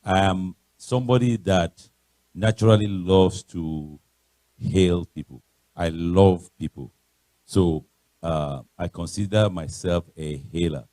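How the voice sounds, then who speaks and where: A middle-aged man with a Nigerian accent and a loud voice, giving a chatty, informal talk as a guest speaker in church. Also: slow pace, no echo. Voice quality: loud, booming voice. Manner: chatty, anecdotal, repeats phrases. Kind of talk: informal motivational talk to a congregation. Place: church podium.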